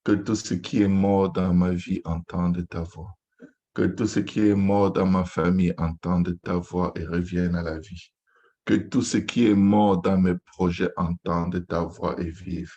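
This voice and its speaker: A man with a dark, mellow voice leads a fervent Pentecostal prayer. He speaks slowly on a fairly flat tone, pleading in repeated invocations. The recording is a little dull and lo-fi. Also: sits low. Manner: repetitive, pleading, chant-like invocation. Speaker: pastor leading prayer.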